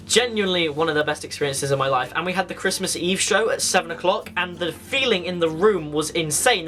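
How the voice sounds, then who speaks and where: Teenage male, very quick pace, chatty, enthusiastic, emphatic, casual vlog storytelling. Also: a little high, fairly bright tone, slight background noise. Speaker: vlogger. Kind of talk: casual anecdotal vlog chat.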